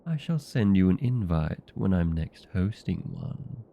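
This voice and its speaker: Man playing a deep, gravelly, genteel old vampire. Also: very animated, muffled recording, heavily acted. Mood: polite, friendly. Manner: genteel, formal, unhurried. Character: courteous, proud old male vampire being interviewed. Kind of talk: scripted comedic audio roleplay.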